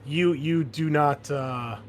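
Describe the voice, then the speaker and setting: A man's voice: a little high, slow. A man explaining tabletop game rules casually as game master, thinking aloud as he goes. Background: background music.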